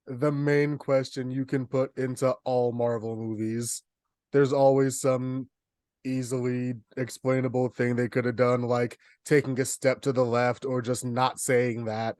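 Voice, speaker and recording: Medium-pitched man, dry podcast sound. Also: a little mellow, no room sound. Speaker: podcast co-host. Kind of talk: casual movie chat.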